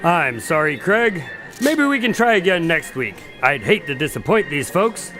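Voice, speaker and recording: A man's voice: on the high side, very animated, normal speed, over music. A man acting a genial, courteous doctor hosting a party in a radio drama, polite and a bit fussy. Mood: welcoming, flustered.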